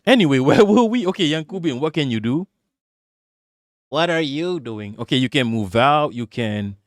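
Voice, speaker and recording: A man's voice: a little high, lively intonation, moderate pace, no echo, studio quality. A man using a put-on American accent as a livestream political commentator, reading aloud and then ranting. He is emphatic and profane, and he often stutters and repeats words.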